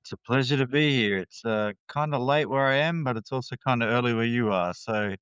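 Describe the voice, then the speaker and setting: Australian man, smooth voice, dry sound. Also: mid-range voice, a little fast, a bit bright, no echo, lo-fi sound. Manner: candid, rambling, many fillers and restarts. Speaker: guest. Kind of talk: casual interview talk.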